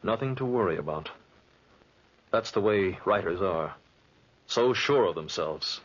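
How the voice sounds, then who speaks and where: A man's voice: sits low, expressive, unhurried, slightly rough, full character voice. A man playing a grumbling, dryly sardonic traveler who also narrates in a 1940s radio drama. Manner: dry, sardonic. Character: wry, grumbling married young traveler and narrator Charles. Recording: dull lo-fi 1940s radio recording.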